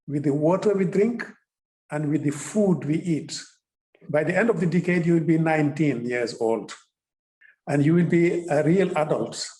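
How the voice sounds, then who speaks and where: A man with a fairly high, mellow voice speaks earnestly and encouragingly in conversation. His phrasing is simple and he circles back to repeat it. The sound is roomy and echoing. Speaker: official in conversation with a young activist. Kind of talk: encouraging interview-style conversation.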